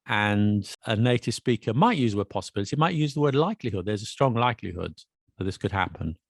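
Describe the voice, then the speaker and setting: A man hosting a casual language podcast, chatty and quick with asides and restarts. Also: on the low side, expressive, gravelly, no echo, studio quality. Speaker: podcast host and English teacher. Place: Paris.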